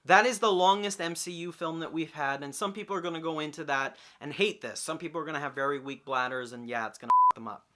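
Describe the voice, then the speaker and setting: A man's voice: on the high side, quick pace, hardly any pauses, pushed and bright. A man in his early twenties giving an enthusiastic, emphatic movie review in casual fan talk with some swearing. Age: early 20s. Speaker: film reviewer.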